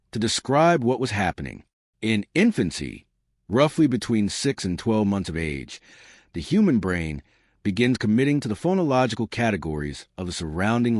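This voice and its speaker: A man narrating a scripted science explainer, measured and deliberate, with slow emphatic short sentences. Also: fairly low pitch, no echo, clean studio sound.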